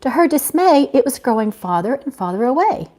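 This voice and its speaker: Expressive high-voiced woman reading aloud. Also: a little fast. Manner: expressive, informal, unpolished reading. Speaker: author reading own novel aloud. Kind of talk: live amateur audiobook reading with casual asides.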